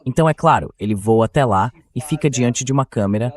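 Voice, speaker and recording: A man's voice: mid-range voice, fast. Blunt Brazilian man giving sarcastic commentary. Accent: Brazilian Portuguese. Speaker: talk show host and political commentator. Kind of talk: opinionated news commentary.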